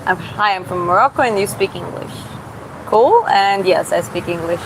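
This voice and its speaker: A woman's voice: on the low side, animated. Casual woman answering chat, background hum. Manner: casual, halting, searches for words with many restarts. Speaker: live streamer and boxer. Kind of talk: live Q&A, reading viewer comments aloud.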